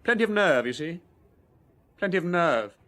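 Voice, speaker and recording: An actor playing a bitter, nervy war veteran gentleman in a radio drama, with an upper-class English accent and excitable public-school slang. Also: on the high side, normal speed. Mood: sardonic.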